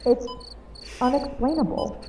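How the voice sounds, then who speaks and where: Woman acting grave female medic, soft dark tone, serious, slightly distant, faint background noise. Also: high-pitched, steady tone, a little slow. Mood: grave concern. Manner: serious, clinical, subdued. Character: grave, worried medic discussing a dying patient. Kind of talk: audio drama acting. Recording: distant, with faint cricket-like ambience.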